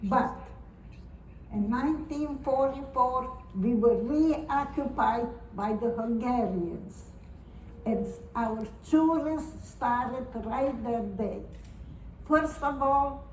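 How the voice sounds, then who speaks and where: A woman in her nineties from Romania, speaking in an earnest, halting and repetitive way as she gives testimony to an audience. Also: fairly high pitch, a little mellow, a little hiss or hum. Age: 90s. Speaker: Holocaust survivor giving testimony. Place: synagogue or community hall. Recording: roomy hall recording from far off.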